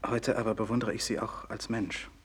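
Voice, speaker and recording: An actor playing a respectful, concerned physician and loyal former pupil in a 1960s German radio play, speaking formally and deferentially. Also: male voice, on the low side, fairly even intonation, brisk, a bit bright, slightly rough. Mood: solemn, admiring.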